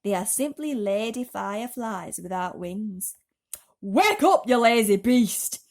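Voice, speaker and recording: A woman voicing a bossy, impatient male centipede who scolds and shouts in a children's storybook. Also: mid-range voice, very animated, slow pace. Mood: grumpy, then furious.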